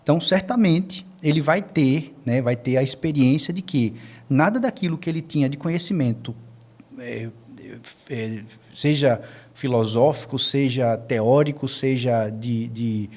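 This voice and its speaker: A man with a Brazilian accent, a podcast guest explaining conversationally, haltingly searching for words and repeating himself. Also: medium pitch, a little mellow. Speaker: podcast guest, media team member.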